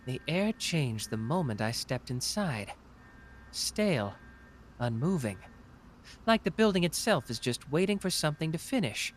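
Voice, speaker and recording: A man playing a confident, smug young male detective genius in a scripted anime audio roleplay, teasing and self-assured with dramatic pauses. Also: on the high side, big pitch swings, a little hiss or hum. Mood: brooding, reflective.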